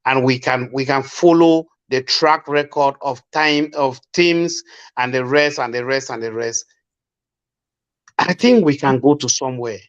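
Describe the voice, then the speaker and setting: A man with a Cameroonian Pidgin English accent, arguing forcefully as a guest in a panel discussion. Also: mid-range voice, unhurried, lo-fi sound.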